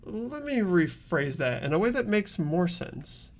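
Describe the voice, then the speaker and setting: An earnest teenage boy detective in a video game, played by a man. His voice sits fairly high and moves with lively pitch as he politely and deliberately explains his reasoning. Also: gravelly, heavily acted. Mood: reasoning, firm. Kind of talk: amateur game voice acting.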